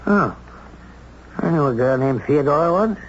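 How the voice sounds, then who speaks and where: An actor playing a brash, blustery older business tycoon with a brusque, commanding manner, in 1970s radio drama. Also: male voice, fairly high pitch, lively intonation, moderate pace. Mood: breezy, commanding. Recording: muffled 1970s radio recording, faint noise.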